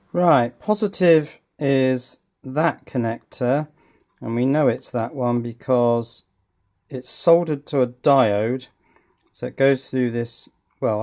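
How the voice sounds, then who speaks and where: A man's voice: mid-range voice, a little mellow. A man giving unscripted teardown commentary as a hobbyist presenter, thinking aloud with dry, understated humour.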